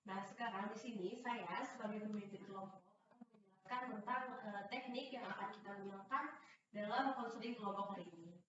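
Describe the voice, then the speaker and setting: A woman's voice: medium pitch, fairly quick. Young woman leading a group session, echoey room. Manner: many hesitant fillers, keeps checking understanding. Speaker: group counseling leader in a practice session. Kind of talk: classroom-style instruction. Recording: echoey room, distant dull mic, faint noise.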